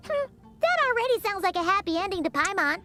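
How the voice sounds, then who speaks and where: A tiny floating fairy companion from a video game chatters away in a piping, squeaky voice. Her pitch leaps up and down with every excited thought. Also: fast, loud music behind the voice. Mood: chatty. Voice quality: high, squeaky and bouncy.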